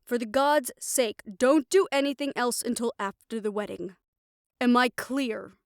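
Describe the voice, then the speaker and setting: An actress voices a stern woman in charge, exasperated and fiercely protective, in an audio drama. Her voice sits fairly high and is recorded close and dry. She lays down the law with emphatic authority. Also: measured pace, no echo. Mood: stern, commanding. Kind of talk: scripted audio roleplay drama acting.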